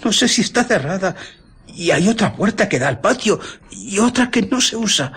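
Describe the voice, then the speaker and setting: An actor playing a nervous, excitable male tavern keeper in a radio drama. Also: high voice, normal speed, a little hiss or hum. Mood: anxious.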